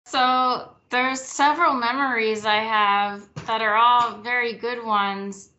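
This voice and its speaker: Host: woman with a bright, sharp voice, sincere tone, muffled lo-fi audio. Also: on the high side, steady tone, slow pace. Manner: heartfelt, sincere. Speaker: program host. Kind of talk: interview hosting. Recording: dull lo-fi audio.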